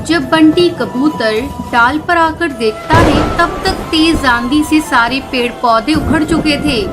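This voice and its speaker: A woman narrates a children's cartoon tale from a script. Her voice is noticeably high. Music plays clearly behind her as she tells the story. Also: slow. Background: background music.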